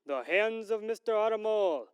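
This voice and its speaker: A man playing a formal 1940s radio announcer, theatrical and measured in delivery. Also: high-pitched, expressive, smooth. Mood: grand, formal. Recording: long hall reverb.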